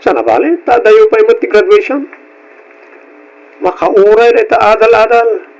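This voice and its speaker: A man voicing a cheeky, evasive high school boy with an Ilocano accent in a radio drama. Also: moderate pace, a little mellow, low-quality recording. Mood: grumbling, scheming. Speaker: drama voice actor.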